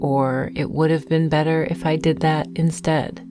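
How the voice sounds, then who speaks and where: Female meditation guide, low voice, gentle and soothing delivery, soft music with singing bowls behind. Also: level tone. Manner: soothing, gentle, unhurried with long pauses.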